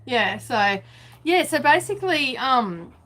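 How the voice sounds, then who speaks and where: A woman's voice: high-pitched, very animated, takes it slowly, fairly bright tone, slight background noise. A woman, hosting a casual live sewing chat from her home studio, chatty and laughing often. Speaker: sewing vlog host.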